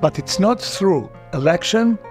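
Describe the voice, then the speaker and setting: A man's voice: high voice, lively intonation, unhurried, darkish tone, soft background music. A man, a Hebrew speaker, earnestly delivering a scripted political video essay with rhetorical questions. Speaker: political commentator.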